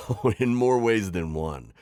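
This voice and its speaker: A man's voice: lowish voice, animated, slow, a little mellow, dry recording. A man playing a sly, teasing, middle-aged retired magical villain in an ASMR roleplay, theatrical and coaxing in his delivery. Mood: sly, amused. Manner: theatrical, teasing, manipulative coaxing with sighs and chuckles. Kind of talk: scripted ASMR roleplay acting.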